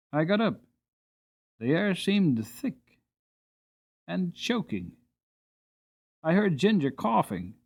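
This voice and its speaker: Expressive male storybook narrator. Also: fairly high pitch. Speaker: audiobook reader.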